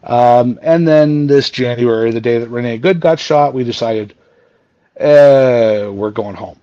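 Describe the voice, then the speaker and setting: A Canadian man in his forties with a booming voice, telling his story in a rambling way as a podcast guest. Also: a little slow, dark tone, no room sound, lo-fi sound. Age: 40s. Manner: rambling, many fillers and restarts. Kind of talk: casual storytelling chat. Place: home. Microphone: remote video call.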